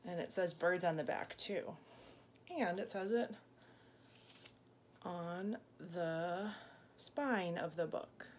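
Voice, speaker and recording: A woman in her thirties reading a picture book aloud to young children, gently explaining and asking questions as a teacher does. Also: on the low side, takes it slowly, long pauses. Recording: clear background room noise.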